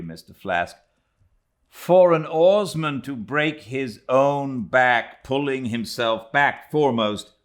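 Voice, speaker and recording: A man's voice: medium pitch, a bit bright, pitched above the performer's own voice. A man voicing an earnest, incredulous young sailor in an audiobook, speaking in an emphatic, wryly formal, theatrical way.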